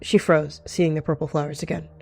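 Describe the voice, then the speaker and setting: A man's voice: on the high side, level tone, a little fast, vocal fry, faint music behind. A man hosting a roleplay, narrating the story in a dramatic storytelling manner. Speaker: host and lead roleplayer. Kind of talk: scripted-sounding story narration with character dialogue.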